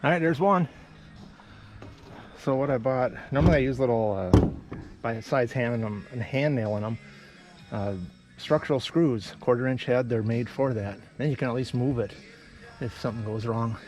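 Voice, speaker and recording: A man's voice: mid-range voice, normal speed, a little mellow, soft background music. A man giving an offhand, plainspoken narration of his building work, thinking aloud as he goes outdoors. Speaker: builder narrating own construction project. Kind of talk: casual DIY work narration. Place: outdoor building site beside a garage.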